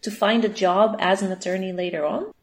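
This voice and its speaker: A woman hosting an interview, friendly and quick to laugh as she asks questions. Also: medium pitch, fairly even intonation, slight background noise. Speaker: interview host. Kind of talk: conversational interview.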